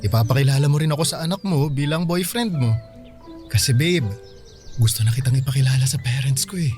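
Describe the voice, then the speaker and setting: A man's voice: medium pitch, brisk, a little mellow, faint music behind, natural voice. A man playing an eager younger boyfriend in a romance drama, coaxing and casual, with a Filipino accent. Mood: coaxing, earnest. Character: eager younger boyfriend, affectionate and pushing for commitment. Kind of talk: dialogue in a radio-style romance drama.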